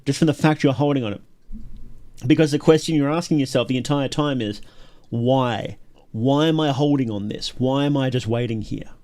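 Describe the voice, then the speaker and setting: A man's voice: medium pitch, moderate pace, darkish tone. A man hosting a livestream, giving casual, enthusiastic reaction commentary with emphatic repetition. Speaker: livestream host reacting to videos.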